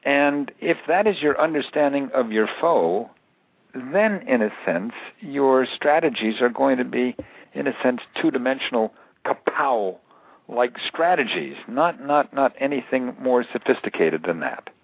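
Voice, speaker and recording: A man giving measured expert explanations as an interview guest, deliberate and halting, laying out points in short phrases. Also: medium pitch, animated, no room sound. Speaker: interview guest, author and terrorism analyst. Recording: thin, dry phone-line sound.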